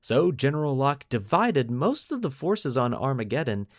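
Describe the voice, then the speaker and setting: A man's voice: medium pitch, very animated, normal speed, dry recording, clean studio sound. A man hosting a podcast, narrating fictional war lore like a storyteller and stressing key phrases. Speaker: podcast host.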